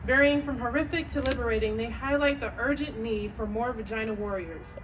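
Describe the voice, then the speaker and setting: A woman reading a scripted statement as presenter, in declarative, measured phrases. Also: fairly high pitch. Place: live event at a bar and grill. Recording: noisy, distant live recording.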